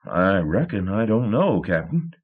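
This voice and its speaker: A man's voice: lowish voice, sing-song, a little slow, breathy edge, phone-quality sound, full character voice. A man voicing a nervous young shipping clerk who stammers and babbles. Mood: flustered.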